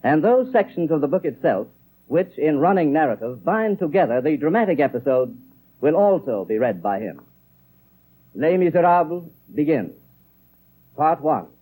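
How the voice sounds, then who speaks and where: A man making a formal, deliberate scripted announcement in 1930s radio style. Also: fairly high pitch, very animated, dark tone, slightly rough, low-quality recording. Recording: vintage 1930s radio broadcast.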